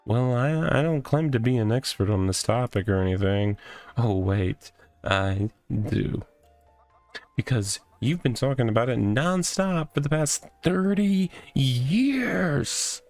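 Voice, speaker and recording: A man's voice: mid-range voice, slow, a little mellow, no echo, faint music behind. A man voicing an odd, rambling guy who lives in a box in a comic visual novel, talking absurd nonsense matter-of-factly. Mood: exasperated, worried.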